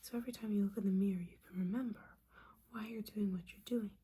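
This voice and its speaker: A woman playing a gently judging, caring witch mentor in an ASMR roleplay, whispering soothingly and conversationally. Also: mid-range voice, fairly even intonation, quick pace, slight background noise, close to an everyday voice. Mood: gently coaxing. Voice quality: breathy whisper.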